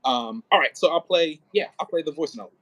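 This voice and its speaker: A man's voice: a little high, animated, fairly quick, fairly bright tone, no room sound. A man giving informal, conversational rehearsal notes as a director, often demonstrating how lines could be read. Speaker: audio play director coaching an actor. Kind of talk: casual rehearsal coaching and line notes.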